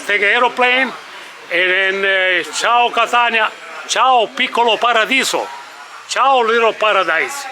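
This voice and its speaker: An older man from Sicily chats in lively, broken English with a Sicilian accent. His voice sits high for a man. Loud outdoor street noise fills the background. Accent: Sicilian Italian. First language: Italian. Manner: playful, storytelling, broken English. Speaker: local resident. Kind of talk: casual street chat. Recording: noisy street recording.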